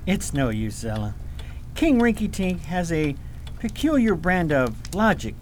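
A man's voice: a little high, big pitch swings, unhurried, darkish tone, a little hiss or hum. A man playing a stubborn, serious boy prince in a fantasy radio drama, speaking tersely and earnestly. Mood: guarded.

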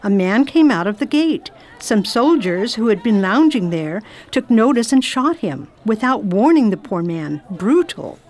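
A woman's voice: medium pitch, big pitch swings, a little slow, hardly any pauses, darkish tone. A woman playing a genteel, inquisitive lady traveller who acts and narrates in a radio drama. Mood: reflective narrating. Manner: polite, inquisitive, exclamatory. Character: genteel, curious well-off English lady traveller. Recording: faint background noise, ambience.

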